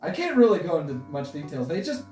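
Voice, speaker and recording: Casual male guest, twenties, halting and rambling, roomy sound with music underneath. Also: on the high side, sing-song, a little mellow, distant mic. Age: 20s. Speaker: interview guest, stop-motion creator. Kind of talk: casual chat. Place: indoor room, sharing the frame with host. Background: guitar music.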